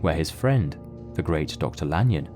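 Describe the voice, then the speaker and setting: A man narrating a literary mystery as scripted audio drama, in a measured, solemn storytelling manner. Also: a bass voice, expressive, music in the background.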